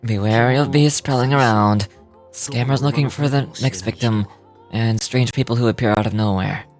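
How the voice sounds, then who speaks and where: A woman's voice: rumbling low, normal speed, a little mellow, soft background music, pitched below the performer's own voice. A woman voicing an earnest, deferential young man in game dialogue, polite and apologetic. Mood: sheepish.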